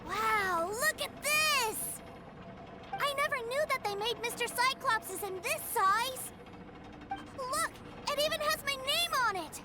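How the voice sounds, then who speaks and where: A woman's voice: very high voice, lively intonation, takes it slowly, music in the background, full character voice. A woman voicing an innocent, eager little boy in a video game, with a childlike voice. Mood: excited. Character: eager innocent little boy adoring his big brother.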